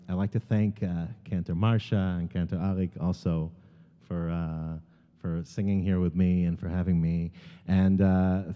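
A middle-aged American man giving a sermon as a guest speaker, halting and earnest, with many ums and restarts. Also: deep voice. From United States. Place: large synagogue sanctuary. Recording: long hall reverb, dull sound.

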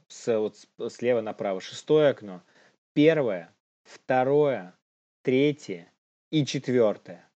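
A man's voice: medium pitch, a little mellow. Young man chatting casually, dull lo-fi recording. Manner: informal, slangy, chuckles while talking. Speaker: podcast co-host. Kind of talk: casual chat about the news.

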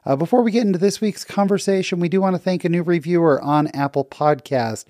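A man hosts a podcast interview in a friendly, upbeat way, often filling pauses with "uh". His voice sits at an ordinary pitch for a man. The sound is dry and close. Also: darkish tone, dry recording. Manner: upbeat and friendly, with frequent fillers. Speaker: podcast host.

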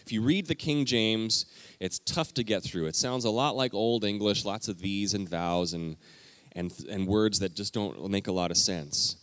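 A man with a low voice gives a teaching sermon in a church, speaking straight to the people in the pews. His delivery is lively and emphatic, and the sound is close and dry. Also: moderate pace, no echo. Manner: lively, emphatic, talks directly to the congregation. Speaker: pastor. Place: church sanctuary.

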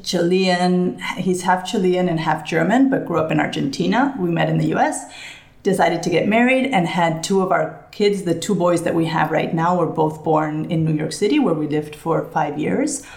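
A woman's voice: on the low side, a little mellow, roomy sound. A pregnant woman, a business founder from Spain, chatting as an interview guest and telling stories with some hesitations. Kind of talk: casual interview chat.

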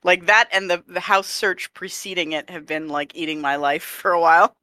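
Co-host, bright forceful voice, dry close mic, chatty and laughing. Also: mid-range voice, moderate pace, no echo. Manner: chatty, laughs often, self-deprecating. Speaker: podcast co-host. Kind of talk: casual chat.

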